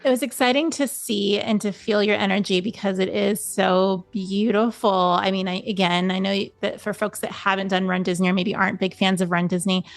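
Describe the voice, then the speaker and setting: A woman's voice: medium pitch, steady tone, moderate pace, vocal fry, hardly any pauses, no room sound. A woman hosting a conversational podcast interview, chatty and encouraging, with long, wordy questions. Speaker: podcast host.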